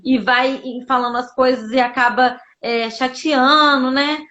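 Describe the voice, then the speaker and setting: A woman's voice: high voice, fairly even intonation, unhurried, sharp tone. A woman with a Brazilian accent from Minas Gerais, chatting casually as a guest on a live stream. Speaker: guest, mother sharing her story. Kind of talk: casual chat. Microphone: live social media video call. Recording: dull lo-fi livestream audio.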